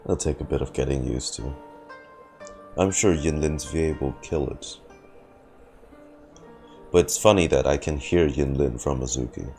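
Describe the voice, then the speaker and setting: A man's voice: a bass voice, moderate pace, long pauses, a little mellow. A man streaming a game, reacting casually and musing aloud to himself, with faint game music behind him. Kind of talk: casual live playthrough reaction.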